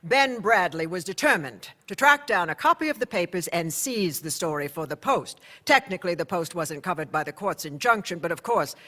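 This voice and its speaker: A man narrating in the first person as a newspaper insider, telling the story in a measured, conversational way for a radio drama. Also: high-pitched, expressive, sharp tone.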